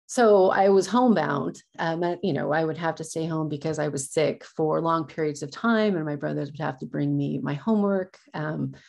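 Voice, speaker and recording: Low, gravelly-voiced woman with hesitant speech. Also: normal speed, few pauses, a little mellow. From California, USA. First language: Spanish. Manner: hesitant, many ums and uhs. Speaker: award recipient, assistant professor. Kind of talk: personal acceptance speech.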